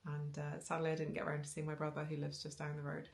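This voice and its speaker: Low, gravelly woman chatting. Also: completely flat delivery, fast. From Wales. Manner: chatty, rambling, with frequent ums and restarts and little laughs. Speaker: knitting vlogger. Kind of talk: casual chat to camera. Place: living room with bookshelves, indoors. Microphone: camera on a tripod facing her.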